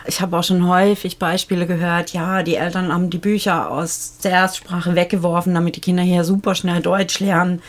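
A woman's voice: on the low side, flat delivery, brisk, hardly any pauses. A woman moderating an expert discussion, thinking aloud and asking follow-up questions. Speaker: discussion host.

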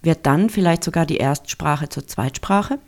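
A reporter reads a scripted feature narration in a measured, formal way. The voice sits in a middle pitch and keeps an even, composed delivery. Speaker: radio reporter.

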